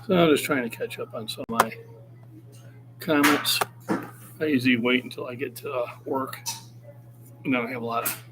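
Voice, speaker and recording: A man's voice: medium pitch, background noise. A man in his sixties, a fitness coach talking casually on a livestream and urging viewers to keep active. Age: 60s. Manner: encouraging, motivational.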